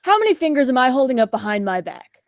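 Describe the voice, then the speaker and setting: A teenage girl in a school radio play acting a slangy, flippant, excitable kid. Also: sits high, fast, no room sound. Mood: cheeky. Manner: flippant, slangy, theatrical gasps. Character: cheeky, slangy, excitable Gen Z kid adventurer.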